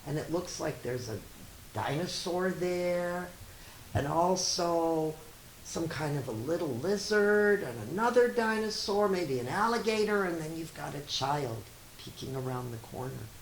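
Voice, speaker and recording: A woman teacher reading a picture book to children, with chatty, expressive asides and questions. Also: low voice, measured pace. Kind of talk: animated read-aloud with chatty asides. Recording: roomy echo with faint hiss.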